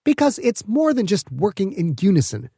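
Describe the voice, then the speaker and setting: An eager, confident young woman inventor in an audiobook, voiced by a male narrator. The pitch is lifted and the sound dry, with a playful edge. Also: big pitch swings, moderate pace, creaky, dark tone, husky, no echo, heavily acted. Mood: explaining eagerly. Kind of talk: audiobook character dialogue.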